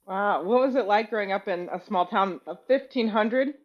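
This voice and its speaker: A woman's voice: medium pitch, normal speed, lo-fi sound. A woman hosting a podcast, interviewing a guest in a conversational style.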